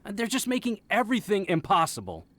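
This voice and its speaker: A man's voice: high voice, wildly swooping pitch, fairly quick, fairly bright tone, slight background noise, exaggerated voice. A man playing a harried, exasperated villager in a video game, complaining emphatically. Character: harried, exasperated male villager pleading for help. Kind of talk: video game character acting.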